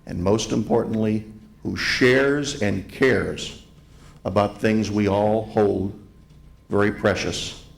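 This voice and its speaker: A man delivering a ceremonial tribute to a live audience, speaking in deliberate, emphatic phrases with repetition. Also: fairly low pitch, gravelly. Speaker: event speaker, museum president giving opening remarks. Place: hotel grand ballroom event.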